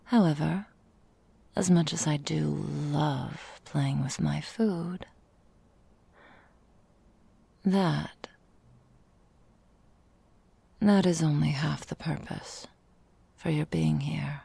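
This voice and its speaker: Woman playing a slow, breathy, creaking ancient vampire mistress. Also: deep voice, dark tone, dry recording, heavily acted. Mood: teasing, silky. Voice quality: breathy, whispery, gravelly. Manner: slow, formal, theatrical, deliberate. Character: ancient vampire mistress, possessive, elegant, melancholy, menacing. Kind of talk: ASMR roleplay monologue.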